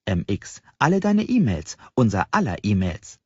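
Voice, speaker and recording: A man's voice: fairly low pitch, huge pitch swings, normal speed, breathy edge, heavily acted. A man voicing a keen, confident young woman programmer in an audiobook dialogue. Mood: proud, explaining. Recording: slightly dull, roomy sound.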